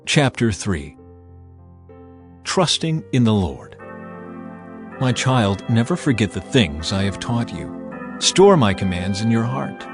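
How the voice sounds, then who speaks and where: A man's voice: on the low side, big pitch swings, slow pace, long pauses. Man solemnly reading scripture over music. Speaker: Bible narrator. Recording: music behind the voice.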